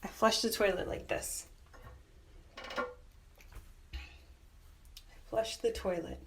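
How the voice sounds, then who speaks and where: A woman's voice: medium pitch, fairly even intonation, slow, vocal fry, fairly bright tone, a little hiss or hum, poor audio. An actress playing a self-justifying young woman drinker, narrating a matter-of-fact audio diary in a drama. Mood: self-satisfied.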